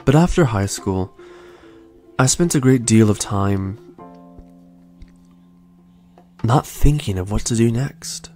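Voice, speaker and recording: A young man in his twenties hosting a podcast, telling his own story in a soft, slow, soothing way. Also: fairly low pitch, expressive. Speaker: podcast host. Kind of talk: calm reflective storytelling. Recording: faint lo-fi music bed. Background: soft lo-fi music.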